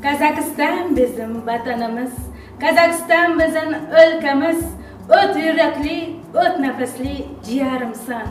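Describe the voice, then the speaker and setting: A woman reciting a poem slowly and fervently over music. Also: high-pitched. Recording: echoey room, far from mic, music behind.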